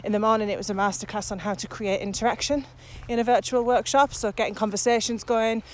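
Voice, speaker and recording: A woman's voice: mid-range voice, fast talker. A woman co-hosting a podcast, talking casually and enthusiastically as she walks outdoors. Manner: enthusiastic, animated about the subject. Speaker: podcast co-host and virtual training coach. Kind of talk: casual conversation recorded while walking. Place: outdoors, walking on a muddy path. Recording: outdoor background noise, faint traffic.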